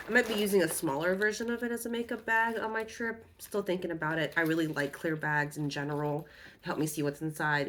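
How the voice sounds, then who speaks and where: Low-pitched woman just getting over being sick, chatty beauty vlog, lots of ums. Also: slight background noise. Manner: chatty, rambling, frequent ums and self-corrections. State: recovering from illness, voice a bit off. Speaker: beauty vlogger. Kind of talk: casual haul and review chat.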